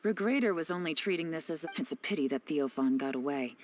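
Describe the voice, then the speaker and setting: A woman's voice: deep voice, expressive, fast, a little mellow, slight background noise. A woman playing a shrewd, confident intelligence operative in a video game, composed, knowing and wry. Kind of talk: video game dialogue, dramatic exposition. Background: music.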